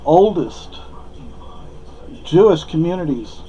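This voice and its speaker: A man's voice: a little high, expressive, dark tone, a little hiss or hum. Rambling Spanish-born man, lo-fi recording. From Spain. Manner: rambling, halting, frequent fillers and restarts. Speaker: vlogger. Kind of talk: opinionated off-the-cuff monologue.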